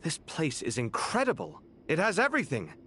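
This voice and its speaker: A man's voice: fairly high pitch, huge pitch swings, normal speed, fairly bright tone, slight background noise. A man playing an eager, confident young researcher in a video game, articulate and enthusiastic.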